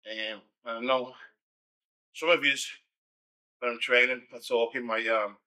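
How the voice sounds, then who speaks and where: A man's voice: medium pitch, fairly even intonation, unhurried, bright, forceful tone. A man with a Scouse accent, a pro bodybuilder answering viewer questions in a blunt, casual monologue. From Liverpool, England. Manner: blunt, conversational, frequent "you know" and restarts.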